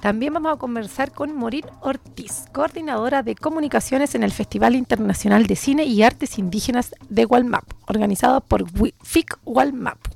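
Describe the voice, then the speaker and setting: Very creaky Chilean woman on the radio. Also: fairly high pitch, moderate pace, few pauses, a little mellow. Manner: informal, outspoken, slangy. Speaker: community radio host. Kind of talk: casual radio chat.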